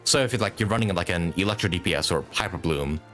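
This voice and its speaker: A man's voice: low-pitched, fast, fairly bright tone, soft background music. A man doing casual live commentary as a game streamer, thinking aloud as he plays and swearing casually.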